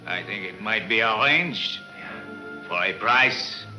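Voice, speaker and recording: French gangster club owner, forceful, bright. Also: male voice, lowish voice, animated, slow pace, exaggerated voice. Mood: cool, mercenary. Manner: theatrical, broken English phrasing. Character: sly French underworld club owner, mocking and mercenary. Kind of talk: 1940s crime serial acting. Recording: 1940s film soundtrack with music behind.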